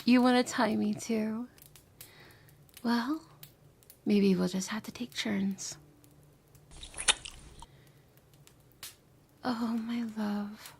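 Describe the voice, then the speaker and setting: Woman acting a breathy, high-voiced lovesick yandere girlfriend. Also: darkish tone, full character voice. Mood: tender, devoted. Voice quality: breathy, often dropping to a whisper. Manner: emotional, tender, halting. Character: lovesick yandere former bully, a woman returned from the future. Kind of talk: intimate ASMR roleplay acting.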